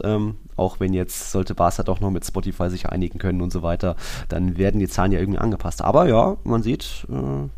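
A man's voice: fairly low pitch, vocal fry, a little mellow. A man talking casually as a football podcast co-host, thinking aloud in colloquial language.

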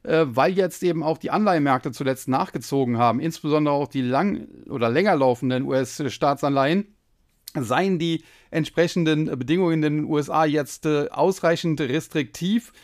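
A man's voice: on the high side, normal speed. German man with a slight cold. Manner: explanatory, frequent filler hesitations. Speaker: podcast host. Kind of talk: solo finance podcast commentary.

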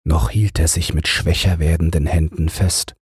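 A man's voice: rumbling low, fairly even intonation, moderate pace, slightly rough, dry recording, studio quality. A man reading as an audiobook narrator, in scripted literary narration meant for relaxing and falling asleep. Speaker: audiobook narrator and podcast host.

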